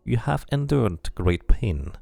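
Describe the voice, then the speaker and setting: A solemn, otherworldly dabus tattooist, as a man reads him aloud speaks in grave, cryptic sentences from a video game. The voice sits low, slightly gravelly and dry, without roominess. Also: sing-song, slow, dark tone, dry recording, exaggerated voice.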